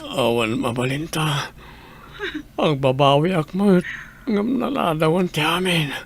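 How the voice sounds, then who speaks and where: A man playing a sick, remorseful adult son in an audio drama, with a halting, downcast, resigned manner. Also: fairly high pitch, creaky, a little hiss or hum. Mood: weary regret. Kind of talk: dramatized story acting.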